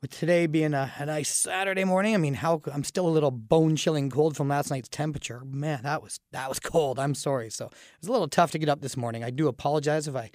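A man with a raspy voice from a cold hosts a casual radio talk show, chatting with fillers and restarts. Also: a little high, fast, hardly any pauses, a little mellow, no room sound, clean studio sound. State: fighting a cold, groggy. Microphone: studio microphone.

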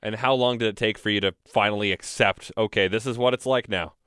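Calm male interviewer, smooth and resonant, dry close sound. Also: fairly low pitch, fairly quick, no echo. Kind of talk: interview questions.

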